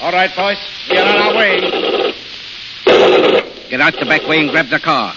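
An actor in a vintage radio drama playing a tough gangster boss on the run, curt and commanding in clipped phrases. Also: male voice, fairly high pitch, lively intonation, moderate pace, natural voice. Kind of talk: 1930s-40s radio gangster acting. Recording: very noisy, muffled old radio recording.

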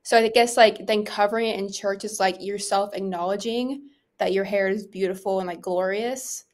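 A young woman with a medium-pitched voice co-hosts a Q&A podcast. She talks casually and informally, thinking aloud as she puts questions across in everyday youthful phrasing. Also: fairly even intonation, normal speed. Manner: informal, peppered with "like" and "you know". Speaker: podcast co-host relaying audience questions. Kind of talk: casual conversational discussion.

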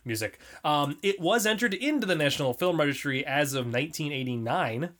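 A woman hosting a casual podcast, laughing often and briskly reading award lists. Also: low-pitched, lively intonation, fairly bright tone. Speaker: podcast host.

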